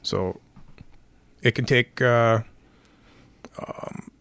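Hoarse Midwestern lawman, plainspoken. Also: medium pitch, fairly even intonation, extremely slow, a bit bright, no echo. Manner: plainspoken, many fillers. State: hoarse from being sick. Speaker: county sheriff guest. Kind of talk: casual interview answers.

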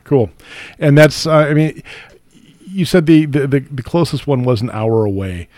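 A man hosting a casual interview, asking questions with stammering restarts and quick friendly interjections. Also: medium pitch, darkish tone, no room sound. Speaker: radio talk show host.

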